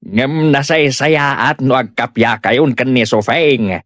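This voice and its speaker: A man's voice: medium pitch, takes it slowly, a bit bright, dry recording, clean studio sound. A man playing an exasperated husband who chides reproachfully in an Ilocano comedy drama. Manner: reproachful, chiding.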